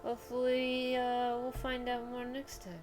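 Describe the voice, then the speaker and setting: A woman's voice: fairly high pitch, monotone, a little slow, a little mellow, faint music behind. A woman chatting casually as a video game streamer, musing and often letting thoughts trail off.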